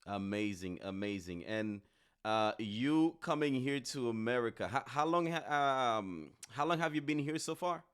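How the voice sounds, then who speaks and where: Man, podcast host, from Haiti, upbeat, chatty, repeats words, fillers, smooth resonant voice. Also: mid-range voice, measured pace, a bit bright. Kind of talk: casual podcast hosting.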